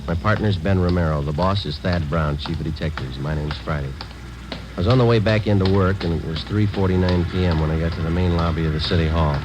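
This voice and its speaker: An actor playing a laconic, businesslike police detective with clipped, matter-of-fact speech in a 1950s radio drama. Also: male voice, low-pitched, fast talker, music in the background, lightly acted. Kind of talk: 1950s radio drama, first-person narration and dialogue. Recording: noisy old 1950s radio recording.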